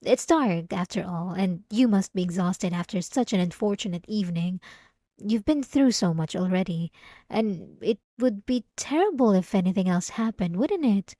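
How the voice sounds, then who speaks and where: A woman playing a sweetly devout, possessive young church girl in a scripted ASMR roleplay, soft-spoken and halting, with sugary politeness and an unsettling edge. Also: mid-range voice, animated, no room sound, close to an everyday voice. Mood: coaxing, wistful. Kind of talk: scripted ASMR roleplay monologue addressed to the listener.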